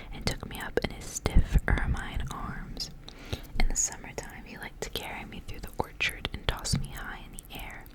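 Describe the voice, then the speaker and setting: Female ASMR reader: fully whispered, soft and breathy, hushed storytelling delivery. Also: poor audio. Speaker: ASMR reader and channel host. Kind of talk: ASMR whispered storybook reading with casual asides.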